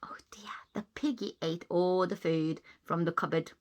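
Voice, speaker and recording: A woman's voice: on the low side, fairly even intonation, normal speed, slight background noise. A woman reading a children's storybook aloud as a teacher, expressively, with simple explaining asides for young listeners.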